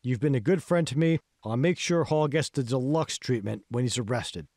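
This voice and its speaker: A man voicing a compliant, corruptible prosecutor in an audiobook, measured and conversational. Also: medium pitch, darkish tone, dry recording, clean studio sound, lightly acted. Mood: obliging. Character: compliant male prosecutor, sceptical, then obligingly corrupt.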